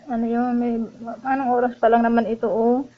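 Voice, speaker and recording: A woman's voice: fairly high pitch, level tone, a little fast. A woman with a Filipino accent chatting casually in a polite, worried, pleading way. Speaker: vlogger making a prank phone call. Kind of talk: casual phone chat, worried-sounding pleading. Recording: dull lo-fi audio with faint noise.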